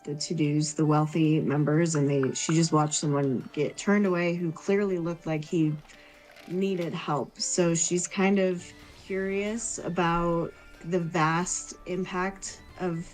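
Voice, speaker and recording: A woman playing a tabletop game, casually thinking aloud about her character in a reflective, halting way. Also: fairly low pitch, fairly even intonation, a little mellow, rough recording. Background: background music.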